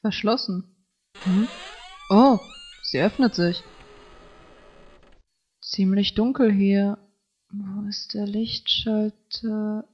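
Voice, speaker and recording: A woman's voice: medium pitch, steady tone, very slow, pauses often, husky. A woman voicing an earnest, fussy teenage boy obsessed with order in a German fan-dubbed anime audio drama. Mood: pondering. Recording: dull lo-fi audio with music.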